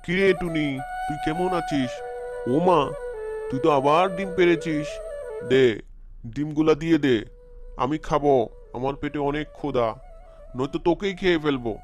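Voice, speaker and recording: A man's voice: fairly high pitch, measured pace, pauses often, lightly acted. A man voicing a bullying greedy cartoon crow. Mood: greedy, threatening. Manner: threatening, demanding. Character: bullying, greedy male crow who demands eggs. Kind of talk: cartoon story acting. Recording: music behind the voice.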